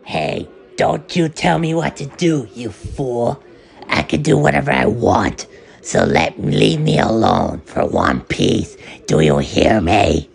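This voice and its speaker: Chipoko, a man doing a gravelly, lovesick frog. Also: lowish voice, very animated, soft background music, full character voice. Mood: angry. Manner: whiny, quick to threaten, then sheepish. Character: hot-tempered, clingy young male frog. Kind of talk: homemade skit.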